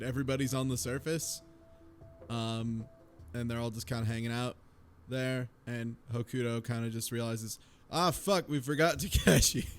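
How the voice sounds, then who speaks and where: A man's voice: medium pitch, sharp tone. A man talking casually and informally in a group discussion, swearing freely. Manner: informal, profane. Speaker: book club podcast panelist. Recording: music playing behind the voice.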